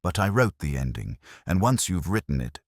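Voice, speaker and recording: A man voicing a quiet, resigned, remorseful male suspect making a halting confession in a mystery story. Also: deep voice, very animated, no echo, clean studio sound, heavily acted.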